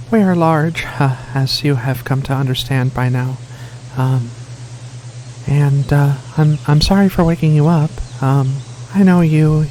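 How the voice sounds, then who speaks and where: Man playing a soft, slow, bashful alien cat. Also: on the high side, slight background noise. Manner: hesitant, halting, apologetic. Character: giant gentle alien cat researcher, bashful and affectionate. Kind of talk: soft-spoken ASMR roleplay.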